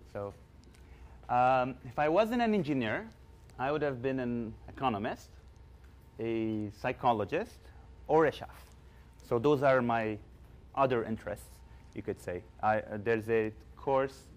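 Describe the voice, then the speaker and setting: A young man leading a class, chatty and upbeat, often asking the students questions. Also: mid-range voice. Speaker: course instructor. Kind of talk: informal classroom teaching.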